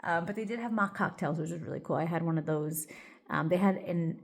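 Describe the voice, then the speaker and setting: A woman's voice: fairly low pitch, fairly quick, vocal fry. A woman vlogging in a casual get-ready-with-me chat. She rambles loosely, with plenty of "like" and "um", and sounds a little congested.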